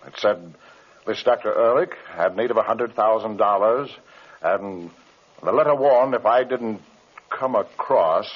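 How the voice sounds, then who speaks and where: A man playing an anxious, flustered airline executive in an old-time radio drama, with hesitant, halting businesslike speech and a husky voice. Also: on the low side. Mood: uneasy. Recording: muffled old radio recording.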